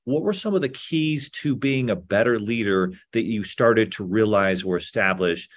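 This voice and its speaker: A man's voice: on the low side, normal speed. A man hosting an interview podcast, affirming his guest and asking questions with repeated words. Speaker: podcast host.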